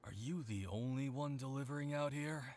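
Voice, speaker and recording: An actor playing a curt, suspicious gang boss in a video game, blunt and threatening. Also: male voice, medium pitch, moderate pace, darkish tone, slight background noise, natural voice. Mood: suspicious, impatient. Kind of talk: video game villain acting.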